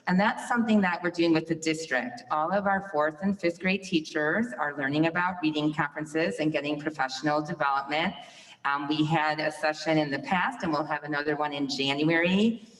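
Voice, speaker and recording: A woman from a school staff presenting to a school board in an upbeat way, with a loud teacher voice. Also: low voice, normal speed. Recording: echoey hall from far off.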